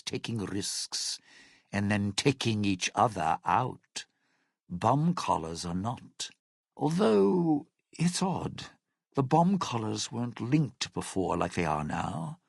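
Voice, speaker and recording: Casting: jaded old showman for a game, husky and gravelly, wry and vain, with big swings in pitch. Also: no echo, clean studio sound, heavily acted. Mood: musing, sardonic. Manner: wry, theatrical, slangy showman's drawl. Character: jaded, self-regarding old lounge singer, smooth-talking cynical schemer. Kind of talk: video game character dialogue.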